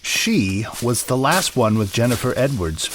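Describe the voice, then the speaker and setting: A man's voice: mid-range voice, sing-song, a little slow, slight background noise, rough recording. A man playing a stern, accusing police detective in an audio drama. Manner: firm, accusing.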